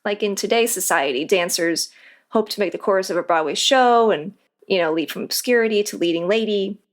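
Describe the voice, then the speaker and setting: A woman with a medium-pitched voice speaks as an interview guest. Her delivery is scripted and explanatory, with a conversational touch. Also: a little fast.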